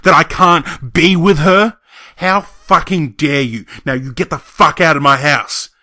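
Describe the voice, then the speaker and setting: A young scarecrow, played by a man in an audio drama, emotional and hot-tempered with a lovestruck heart. His voice is bright and forceful at a medium pitch, captured close and dry. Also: a little slow, no room sound. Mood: furious. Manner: emotional, profane, stammering when cowed. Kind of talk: audio roleplay acting.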